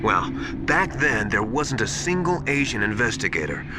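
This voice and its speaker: A man's voice: mid-range voice, very animated, moderate pace, heavy background noise, lightly acted. A man voicing an earnest, suspicious military adviser in a video game, explaining things with emphasis. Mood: urgent suspicion. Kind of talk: dramatic video game radio dialogue.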